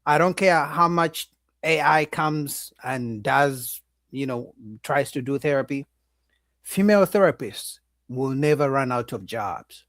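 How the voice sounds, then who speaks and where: A man's voice: medium pitch, a little slow, no room sound. A man hosting a reaction video, commenting animatedly and speaking straight to his chat. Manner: animated, addresses chat directly.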